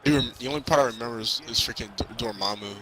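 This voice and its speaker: A man casually recapping a movie in a halting, rambling way, repeating himself as he goes. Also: medium pitch, sharp tone, low-quality recording. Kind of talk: casual movie recap chat while playing a video game. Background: crowd noise and basketball bouncing from a game.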